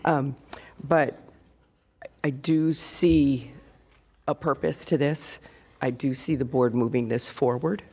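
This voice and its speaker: Hoarse, gravelly male commissioner losing his voice. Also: mid-range voice, fairly even intonation, slow pace, pauses often, darkish tone. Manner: gravelly, conversational, thinking aloud. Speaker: county commissioner. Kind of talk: formal public meeting remarks. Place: county commission chamber.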